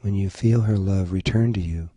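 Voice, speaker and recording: A man leading a guided meditation, giving gentle, soothing instructions in short phrases. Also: deep voice, normal speed, slightly rough, no echo. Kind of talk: slow guided meditation narration.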